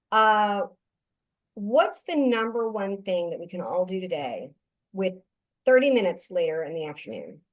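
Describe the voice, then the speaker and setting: A woman hosting a casual podcast interview, talking in a conversational, affirming way. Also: mid-range voice. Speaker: podcast host.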